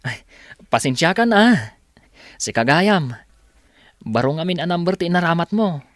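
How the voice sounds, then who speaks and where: A man's voice: a little high, lively intonation, moderate pace, no echo, clean studio sound, lightly acted. A man acting an earnest, helpful young male student in an Ilocano radio drama, with an Ilocano Filipino accent. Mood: friendly, reassuring.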